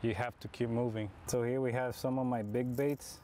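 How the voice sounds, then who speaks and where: Male, 30s, casual fishing vlogger, mid-pitched, camera mic outdoors, audible background noise. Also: steady tone, moderate pace, mellow. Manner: laid-back, explains as he fishes. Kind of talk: casual chat while fishing. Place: outdoor park pond.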